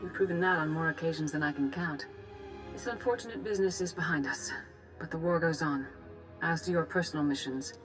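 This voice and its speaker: A grave and composed Jedi master briefing on a war, played by a woman in a low voice. She speaks formally and with measured solemnity, as in a scripted space-fantasy game, with faint music underneath. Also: lightly acted, rough recording. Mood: relieved, resolute. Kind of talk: scripted video game dialogue.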